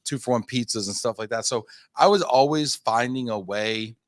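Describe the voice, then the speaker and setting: A man's voice: medium pitch, normal speed, dry recording, clean studio sound. A man in his thirties, a company founder being interviewed, telling his story in a chatty, self-deprecating, rambling way. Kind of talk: casual interview talk.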